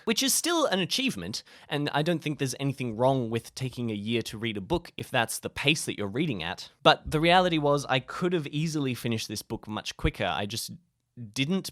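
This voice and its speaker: A man's voice: medium pitch, fairly bright tone, no room sound. Wry young man, very dry close recording. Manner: self-deprecating, wry. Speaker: video host. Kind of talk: casual advice vlog to camera.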